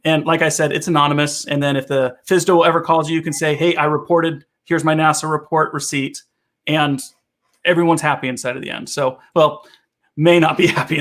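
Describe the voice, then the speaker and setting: Higher-voiced man hosting a casual chat. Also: a bit bright. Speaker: live stream host. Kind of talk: casual live stream chat, giving advice.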